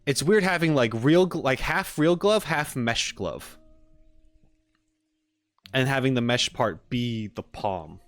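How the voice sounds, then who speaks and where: A man's voice: mid-range voice, expressive, slow pace, long pauses, a bit bright, no echo, faint music behind. A man chatting casually on a live stream, giving a rambling, informal critique as he reacts to what he watches. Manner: rambling, informal, frequent hedging and "like". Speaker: streamer reacting to game character trailers.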